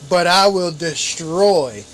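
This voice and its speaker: A man in his late thirties chatting casually on a fishing podcast, relaxed and rambling. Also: sits high, slow, poor audio. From Chesapeake Bay area, US. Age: 30s. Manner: relaxed, rambling, with restarts and laughs. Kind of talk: casual chat. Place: on a boat out on the water.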